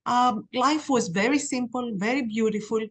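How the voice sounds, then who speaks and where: A woman, a podcast guest telling her life story in a reflective way, with pauses and restarts. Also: fairly high pitch. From Greece. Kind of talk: personal storytelling.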